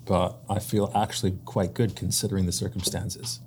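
A male podcast co-host with a low voice. He chimes in with short, dry interjections and the occasional question. A faint background noise sits under his recording. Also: flat delivery, moderate pace, a little mellow. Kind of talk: casual co-hosting banter.

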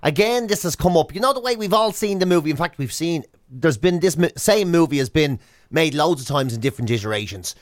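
A man's voice: on the high side, brisk, no room sound, clean studio sound. An Irish man co-hosting a comic breakfast radio show, telling stories and acting out imagined dialogue as he goes. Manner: animated storyteller, acts out imagined dialogue.

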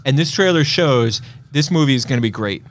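A man's voice: medium pitch, lively intonation, brisk, slight background noise. A man co-hosting a casual film discussion podcast, giving his opinions in a confident, conversational way. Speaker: podcast co-host. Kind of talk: casual movie trailer discussion.

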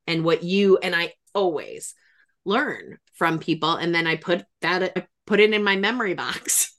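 A woman's voice: fairly low pitch, fairly bright tone. A woman hosting a podcast in a casual conversational interview, speaking in an effusive, emphatic way. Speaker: podcast host and grief expert.

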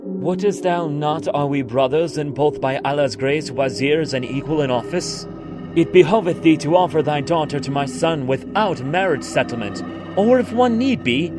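An actor playing a proud, touchy younger brother vizier in an audio drama, speaking in a heated, argumentative way. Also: male voice, a little high, animated, normal speed, lightly acted. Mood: indignant. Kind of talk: radio drama acting. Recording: voice over loud music, from a distance.

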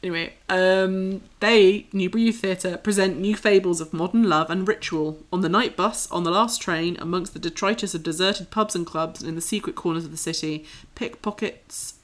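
A woman's voice: fairly low pitch, normal speed, hardly any pauses. Woman, chatty podcast co-host. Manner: chatty, informal. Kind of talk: casual chat, reading out festival listings.

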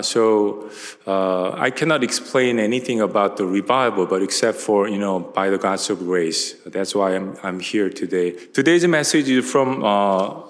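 A man in his fifties from South Korea with a Korean accent, preaching a relaxed, conversational sermon with many filler words. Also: fairly low pitch. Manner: relaxed, conversational, frequent uh and you know fillers. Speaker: pastor. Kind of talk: sermon with personal storytelling. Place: large church sanctuary at a pulpit. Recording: long hall reverb.

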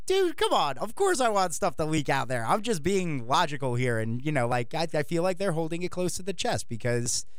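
Animated, rambling male podcast host. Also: on the high side, brisk, hardly any pauses, clear, resonant tone, no room sound, clean studio sound. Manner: rambling, animated, playful, with many restarts. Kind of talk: casual podcast chat.

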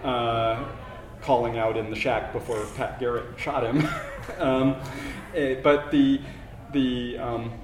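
A man, an author, talking casually about his research, halting with many fillers and repeats. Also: mid-range voice, steady tone, echoey room, noisy background. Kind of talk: casual conversation about writing.

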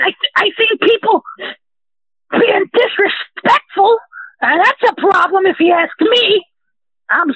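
A caller voicing a boastful, trigger-happy self-styled vigilante superhero who shouts in a whiny, near-crying voice. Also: extremely high pitch, no room sound, lo-fi sound, exaggerated voice. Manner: boastful, aggressive, rambling.

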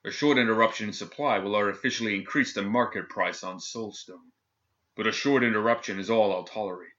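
A man voicing a cold, sadistic male governor general who speaks coolly and with calm menace. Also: fairly low pitch, fairly quick, highs cut off, lightly acted. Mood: cool impatient. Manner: cool, clipped, menacing.

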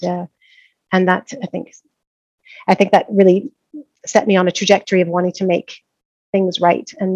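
A woman's voice: mid-range voice, gravelly, a little mellow, no room sound, lo-fi sound. A middle-aged woman from Canada, a professor of practice being interviewed, talking thoughtfully with many restarts and fillers. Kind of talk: conversational interview.

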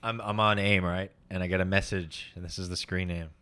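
Low-voiced man telling crude casual anecdotes. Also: flat delivery, fairly quick, sharp tone. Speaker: podcast co-host. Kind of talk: casual comedy banter and anecdotes.

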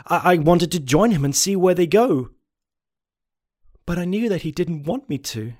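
A man playing a desperate, obsessed confessor in a horror story, halting and repeating words. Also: high-pitched, animated, a little mellow. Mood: troubled, wistful. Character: unstable, haunted anonymous confessor on tape, desperate and obsessed. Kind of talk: dramatic first-person horror monologue.

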